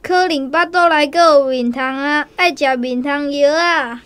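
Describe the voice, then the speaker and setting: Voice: high voice, measured pace, a bit bright. An actor playing a whiny, plaintive little boy with a bellyache in a Taiwanese radio drama, with a Taiwanese accent. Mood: whining. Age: child figure.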